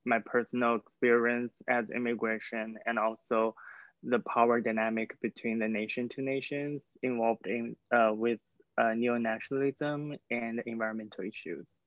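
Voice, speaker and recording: Flat-voiced sculptor, dull dry audio. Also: medium pitch, dry recording. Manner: flat, careful, halting. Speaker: researcher and sculptor. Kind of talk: video call self-introduction. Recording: dry, dull video call sound.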